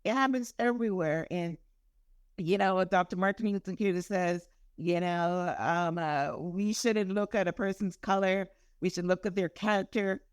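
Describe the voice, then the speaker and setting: A woman's voice: fairly low pitch, moderate pace, smooth, dry recording. Chatty podcast host woman, dry sound. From Guyana. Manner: animated, chatty, laughs easily. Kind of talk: podcast interview.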